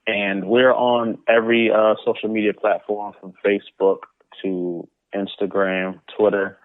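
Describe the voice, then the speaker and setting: A man's voice: on the low side, flat delivery, unhurried, dry recording. A man being interviewed as a guest, chatting casually and informally, often repeating words and phrases as he goes. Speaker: interview guest, comic book publisher. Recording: muffled phone-like line.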